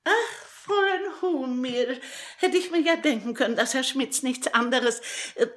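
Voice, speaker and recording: A woman's voice: sits high, expressive, moderate pace, a bit bright. An actress playing a fussy, prim, nagging landlady in a 1960s German radio comedy, scolding in flustered declarations. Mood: indignant. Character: fussy, prim, twice-married widowed landlady, nagging and self-righteous.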